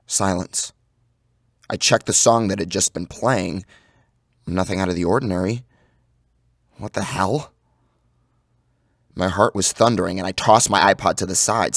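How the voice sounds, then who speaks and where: A man's voice: low-pitched, creaky, dry recording, clean studio sound. A man giving a theatrical, suspenseful first-person narration of a horror story. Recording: loud music under the narration. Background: loud music.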